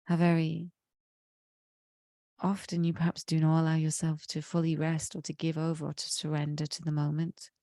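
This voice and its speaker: A woman leading a guided meditation in a slow, soothing way, her voice slightly croaky from a cold. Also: sits low, completely flat delivery, warm tone, dry recording, clean studio sound. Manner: slow, soothing, drawn-out phrasing. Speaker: meditation leader.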